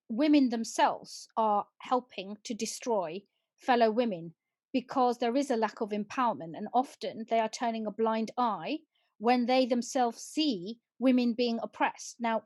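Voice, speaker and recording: Higher-voiced woman hosting a panel. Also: no echo. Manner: measured, questioning, quotes comments. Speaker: host. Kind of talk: live panel discussion.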